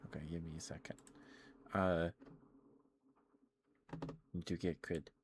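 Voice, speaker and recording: Male ASMR streamer. Deep-pitched and slow, casual, gently rambling, halting delivery. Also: level tone, a little hiss or hum. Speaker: live streamer, ASMR host.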